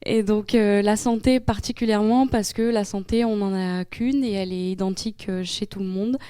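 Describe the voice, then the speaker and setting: Young woman, French accent, mellow smooth voice, hesitant fillers, dry sound. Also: medium pitch, moderate pace, no echo. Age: young adult. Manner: hesitant, many fillers, then animated. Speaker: student in a debate. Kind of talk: classroom debate.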